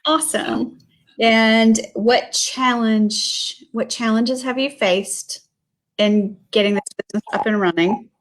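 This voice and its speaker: A woman's voice: on the high side, steady tone, slow pace, rough recording. A woman from South Georgia hosting a casual livestream interview, chatty and friendly, with frequent "um"s and "yeah"s.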